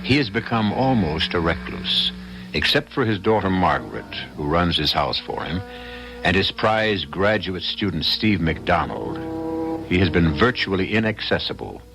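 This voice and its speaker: An American man hosting and narrating an old radio mystery show in a measured, theatrical, scripted style. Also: low voice, big pitch swings, creaky, music in the background. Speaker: host and narrator.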